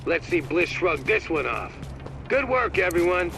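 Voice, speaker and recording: An actor playing a proud military officer in a video game, commanding and hearty with the troops. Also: male voice, high-pitched, very animated, a little slow, music in the background.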